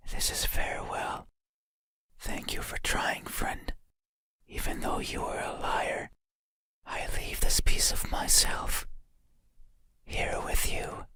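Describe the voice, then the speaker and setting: A performer voicing an eerie, obsessive monster in a breathy whisper, speaking slowly and menacingly in short phrases for an ASMR horror roleplay. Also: pushed and bright, no echo, clean studio sound. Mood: mournful, eerie. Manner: slow, deliberate, menacing, broken into short phrases. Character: eerie, obsessive monster demanding you open your eyes.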